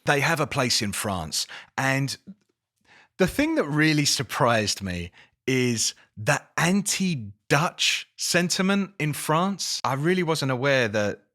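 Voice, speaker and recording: An English man giving a casual spoken book review, expressive, with dramatic emphasis and incredulous questioning. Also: medium pitch, slow pace. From England.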